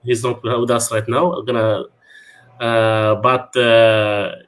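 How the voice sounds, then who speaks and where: A man who hosts a video call through a headset from a small room at home, speaking hesitantly and filling his pauses with "you know.". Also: medium pitch, little pitch movement, a bit bright. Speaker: community organizer and host. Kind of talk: casual online talk.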